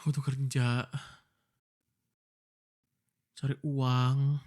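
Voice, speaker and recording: A man's voice: medium pitch, flat delivery, drawn-out words, a little mellow. Frustrated young Indonesian man, pleading. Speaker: boyfriend in an audio roleplay. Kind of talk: ASMR voice-acted roleplay.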